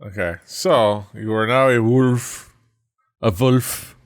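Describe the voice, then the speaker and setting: Slow, hesitant man running a game. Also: mid-range voice. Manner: hesitant, lots of ums while looking up rules. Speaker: game master. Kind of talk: running a tabletop game, thinking aloud.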